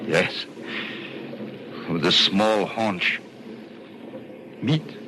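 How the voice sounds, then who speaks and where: An actor playing an anxious, haunted time traveller in a mid-century radio drama, speaking gravely and dramatically. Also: male voice, low voice, animated, background noise, heavily acted. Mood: hushed dread. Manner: grave, dramatic, halting when afraid. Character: anxious, haunted male time traveller recounting his ordeal. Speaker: lead actor and first-person narrator. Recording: old radio broadcast recording.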